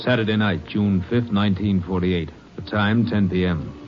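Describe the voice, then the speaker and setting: A man's voice: on the low side, moderate pace, over loud music. A man reading scripted radio crime drama narration in a terse, solemn, factual way. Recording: muffled old radio recording.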